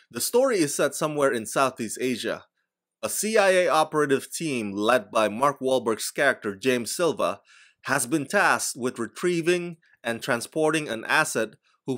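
A man in his forties from Indonesia, whose first language is Indonesian, giving a blunt, emphatic scripted film review to camera from a small room on a desk microphone. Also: medium pitch. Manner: blunt, emphatic, animated delivery. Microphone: desk microphone in front of him.

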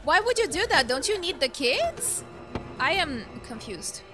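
A young woman streams a game with a high, swooping voice. She takes her time, exclaims and swears casually, and loud game music plays behind her. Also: sing-song, unhurried. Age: young adult. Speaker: streamer playing a video game. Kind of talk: casual reactive let's play commentary. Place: indoor room at home. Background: loud video game music and sound.

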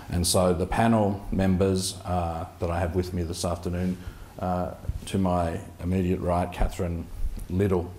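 Deep-voiced man moderating a panel. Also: slight background noise. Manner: self-deprecating, relaxed, measured. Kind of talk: introducing a panel guest. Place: conference stage before a large audience, indoors.

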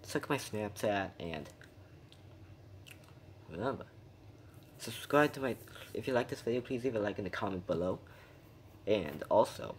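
A man's voice: lowish voice, a little hiss or hum. A young adult man hosting a casual reaction video, recorded on a phone held at arm's length.

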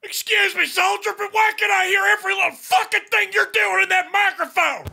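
A man putting on an irate, foul-mouthed drill sergeant who yells insults and complaints in online game voice chat. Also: extremely high pitch, fairly even intonation, a little fast, gravelly, very noisy, full character voice. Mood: hectoring. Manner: yelling, insulting, indignant.

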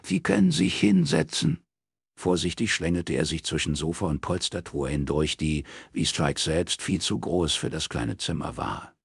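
A man's voice: rumbling low, moderate pace, hardly any pauses, breathy edge, no room sound, clean studio sound. A man narrating a crime novel as an audiobook, reading from a script.

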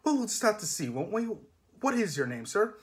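A man voices a smiling young-sounding villain from a fantasy storybook. He is high-pitched, bright in manner and terribly courteous, with concern that is plainly false and menace underneath. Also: very animated, fairly quick. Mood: bright, cheerful menace. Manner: cheery, falsely solicitous, courteous. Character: brightly polite, menacing male knife-wielding gang leader.

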